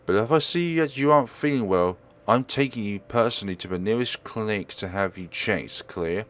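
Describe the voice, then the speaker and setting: A man's voice: fairly low pitch, normal speed, fairly bright tone, lightly acted. A man voicing a young female real estate agent in a visual novel, earnest, insistent and protective. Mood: firm.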